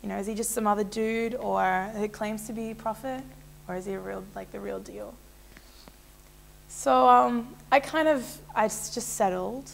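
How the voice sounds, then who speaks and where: A woman's voice: mid-range voice, normal speed, creaky, poor audio. A young woman in a small indoor room, telling her personal story to a fixed camera in a casual, rambling way with many fillers. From Israel. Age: young adult. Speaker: interviewee.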